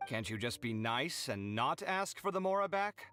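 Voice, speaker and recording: A man's voice: medium pitch, big pitch swings, normal speed, bright, forceful tone. A man playing a vain, broke young artist in a video game, bickering in an exasperated, theatrical way. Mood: haughty pleading. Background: music.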